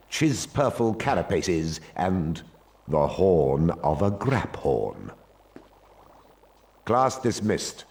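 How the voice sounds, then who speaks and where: Contemptuous schoolmaster, very low voice, slow drawl, swooping sardonic emphasis, game cutscene. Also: male voice, darkish tone, full character voice. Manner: drawling, sardonic, precise, menacing pauses. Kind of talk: video game character acting.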